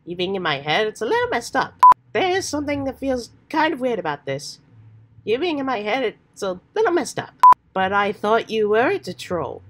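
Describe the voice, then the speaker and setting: A woman voicing an earnest, excitable, old-fashioned adventurous teenage boy with hammy, folksy slang, while getting over a cold. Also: on the high side, normal speed. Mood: puzzled. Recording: faint background noise.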